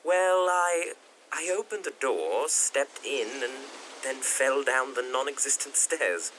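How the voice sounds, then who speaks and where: An actor playing a modest young gentleman architect in a period audio drama, apologetic and hesitant in manner. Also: medium pitch, slow pace, a little hiss or hum, rough recording. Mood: sheepish.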